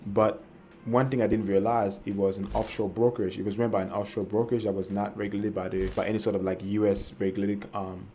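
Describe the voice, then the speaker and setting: A man's voice: lowish voice, flat delivery, fast, warm tone, a little hiss or hum. A young man from Cameroon telling his personal trading story to camera, hesitant and full of fillers and restarts. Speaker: trading vlogger.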